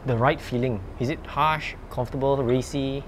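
A man hosting a relaxed bike interview in an open parking garage at night, asking easygoing questions. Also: medium pitch, normal speed, a little hiss or hum. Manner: relaxed questioner, local particles. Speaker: host, interviewer.

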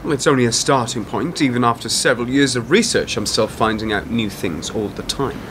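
A man playing a dry, measured, self-deprecating former intelligence worker turned author in a radio play. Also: medium pitch, background noise, natural voice. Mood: polite, wry. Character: wry, world-weary male author and former intelligence worker. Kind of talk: radio drama dialogue.

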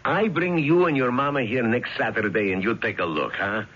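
An actor playing a hearty Slavic immigrant uncle in a 1970s radio drama, jovial, speaking broken English with a Slavic accent. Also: male voice, medium pitch, moderate pace, fairly bright tone, husky. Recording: dull lo-fi 1970s radio recording.

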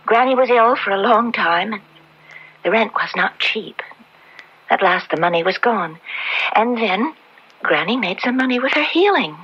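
Terrified young maid, breathy and high. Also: female voice, a bit bright, exaggerated voice. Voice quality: breathy, often dropping to a whisper. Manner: halting, tremulous, pleading. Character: terrified young maid condemned as a witch, fragile and pleading. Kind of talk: old radio drama acting. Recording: dull lo-fi old radio recording.

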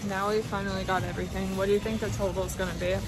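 A woman's voice: mid-range voice, level tone, very fast. A young woman in her twenties, chatting casually and narrating to a handheld phone camera while grocery shopping. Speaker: family vlogger. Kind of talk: casual chat. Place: large grocery store and its parking lot. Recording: noisy store and parking lot.

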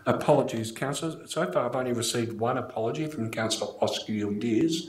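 An older man with an Australian accent, formally chairing a meeting as mayor in a measured, procedural manner. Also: mid-range voice. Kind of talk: formal scripted meeting address. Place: indoor council chamber. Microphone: gooseneck desk microphone. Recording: echoey room, clear background noise.

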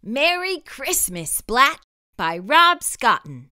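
Female narrator, children's read-aloud, lively intonation, slow and deliberate, dramatic pauses. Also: high-pitched. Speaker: storytime host and narrator.

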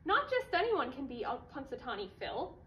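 A woman's voice: high voice, very animated, moderate pace, a bit bright, distant mic. A woman voicing a stubborn, grumpy old male groundhog uncle from a storybook, grumbling and scoffing.

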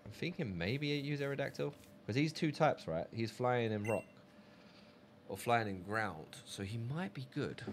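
A man's voice: mid-range voice, a little hiss or hum. A man chatting casually on a game live stream, reading viewer messages aloud.

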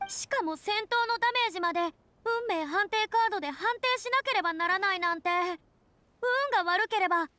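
A woman's voice: very high-pitched, lively intonation, moderate pace, bright, forceful tone, no room sound, full character voice. A woman voicing a theatrical young lady in a game, complaining in a dramatic, indignant way. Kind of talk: animated game character acting.

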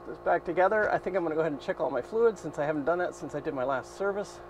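Man, fifties, boat owner narrating his own repair, somewhat high voice, loud engine noise behind. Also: racing. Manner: plain practical talk with fillers, thinking aloud while working. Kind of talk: hands-on repair walkthrough. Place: cramped boat engine room. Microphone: action camera mounted in the engine room. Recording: noisy engine room. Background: running boat engine.

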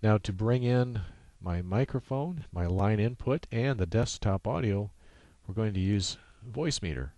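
Low-voiced man, halting tutorial narrator. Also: animated, warm tone, no echo. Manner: halting, unscripted step-by-step explaining. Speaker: software tutorial presenter. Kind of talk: casual screen-capture tutorial narration. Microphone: USB microphone.

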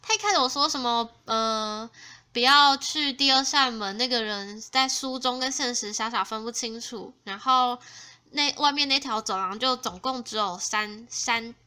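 A woman giving casual, expressive let's play commentary as host of a gaming video. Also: on the high side, normal speed, smooth. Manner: expressive, exclaiming reactions. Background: game music.

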